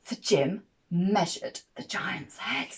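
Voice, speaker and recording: A woman reading a children's picture book aloud as an expressive storyteller. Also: fairly low pitch, slow, slight background noise.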